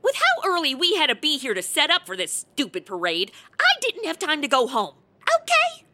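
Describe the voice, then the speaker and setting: A woman's voice: sits high, wildly swooping pitch, moderate pace, bright, forceful tone, full character voice. A woman acting a sardonic, irritable, hard-drinking publicist in a comic radio play, with slangy, sarcastic delivery. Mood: irritated.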